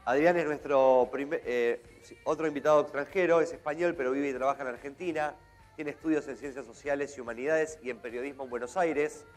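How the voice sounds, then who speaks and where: A mid-pitched man reads a guest speaker's credentials to an audience. His delivery is steady with small stumbles, and faint music plays under it. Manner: measured, slightly halting reading. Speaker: event host. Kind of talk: reading a speaker introduction.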